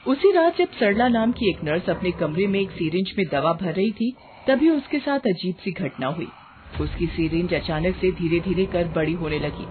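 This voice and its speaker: A woman's voice: fairly low pitch, animated, normal speed. A woman storyteller reading scripted horror narration on a tinny recording. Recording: tinny band-limited sound with music.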